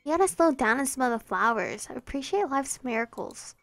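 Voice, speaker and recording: A woman's voice: high-pitched, expressive, a little fast, creaky, a bit bright, dry recording, soft background music, full character voice. A woman voicing a cocky teenage girl prankster who teases with mock sincerity. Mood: mock-hurt. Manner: smug, goofy, mock-sincere.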